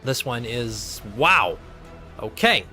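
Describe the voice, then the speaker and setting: A man's voice: mid-range voice, big pitch swings, slow pace, sharp tone, soft background music. A man acting as game master in a live tabletop game, narrating turns in a chatty way and thinking rules through aloud. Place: home streaming setup.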